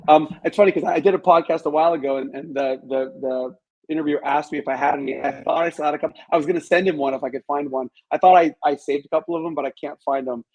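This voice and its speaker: A man's voice: a little high, fairly even intonation, fast, a little mellow, dull audio. Male interview guest, author type, modest and reflective, conversational storyteller.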